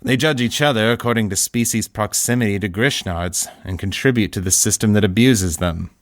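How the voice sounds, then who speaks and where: A man's voice: on the low side, fairly quick, a little hiss or hum. A British man voicing an audiobook, breaking off into joking asides and silly sing-song bits between lines. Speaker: audiobook voice actor. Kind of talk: playful recording outtakes.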